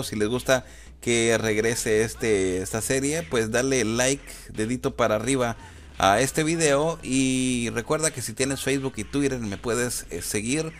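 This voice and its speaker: A man chatting informally and hesitantly as a YouTuber, using slang and repeating himself. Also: medium pitch. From Guatemala. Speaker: YouTuber answering viewer questions. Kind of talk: casual chat over gameplay footage. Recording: clear background noise.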